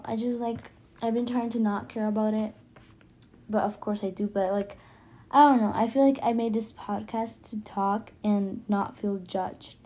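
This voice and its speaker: A woman's voice: mid-range voice, darkish tone. A teenage girl, a native Spanish speaker with a Spanish accent, talking casually and hesitantly in a self-conscious, rambling podcast monologue. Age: teenager, high school freshman. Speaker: podcast host.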